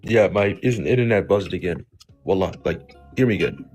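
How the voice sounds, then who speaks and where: A man with a low-pitched voice talks casually in a live debate. His delivery is halting and circles around, thick with filler words. Music sits behind him. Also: darkish tone. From Somali background. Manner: halting, heavy use of "like" and "you know". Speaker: debate participant.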